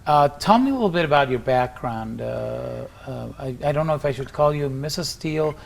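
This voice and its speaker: A middle-aged man hosting an interview, asking questions haltingly with frequent pauses. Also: medium pitch, expressive, vocal fry. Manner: hesitant, many fillers and restarts. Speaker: interview host.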